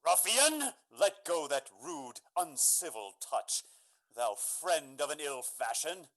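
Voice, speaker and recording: An actor playing a noble, wounded young gentleman in a Shakespeare radio drama, declaiming his verse theatrically. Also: on the high side, very animated, slow pace, full character voice. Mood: outraged.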